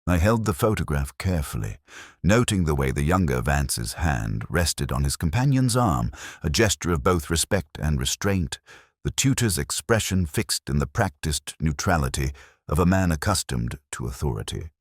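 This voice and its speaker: A man reading a scripted literary detective story in the first person, with a measured, formal, reflective delivery. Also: extremely low pitch, very animated, no room sound, clean studio sound. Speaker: audiobook-style detective story narrator.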